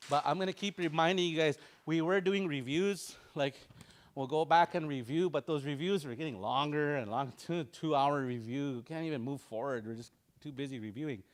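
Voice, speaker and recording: A man teaching an online language class, drilling words over and over in a relaxed, informal way and chuckling often. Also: fairly high pitch, moderate pace.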